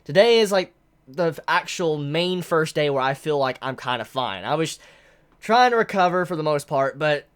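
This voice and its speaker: A woman giving a chatty, excitable live manga reaction while congested from a head cold. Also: deep voice, normal speed, smooth. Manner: excitable, chatty, exclaims often.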